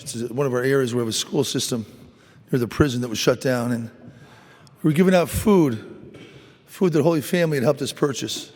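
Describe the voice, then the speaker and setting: A man from a mission team telling a story to a church congregation, halting and earnest, repeating words as he goes. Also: mid-range voice, darkish tone. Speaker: mission worker speaking at Mass. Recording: church hall reverb.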